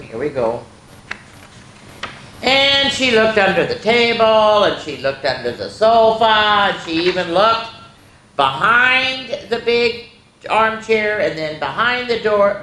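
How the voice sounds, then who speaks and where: An older woman hosts a children's storytime, reading aloud and chatting in an expressive, folksy way straight to young listeners. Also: low-pitched. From rural United States, farm. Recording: echoey room with background noise.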